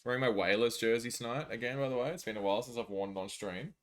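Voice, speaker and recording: A man's voice: mid-range voice, quick pace. An Australian man live streaming, chatting casually and jokily with viewers as he reads out their messages.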